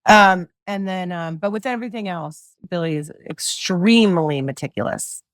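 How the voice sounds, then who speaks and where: A middle-aged woman with a low voice, a singer-songwriter guest chatting loosely in an interview, full of fillers and restarts. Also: normal speed, dry recording, studio quality. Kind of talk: casual interview chat.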